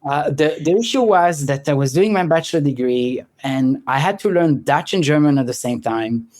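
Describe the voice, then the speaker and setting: Halting, self-deprecating man in an interview. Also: a little high, expressive, darkish tone. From born in France, grew up in Israel. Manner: direct, halting with many restarts, laughs at himself. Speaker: guest. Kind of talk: conversational interview answers.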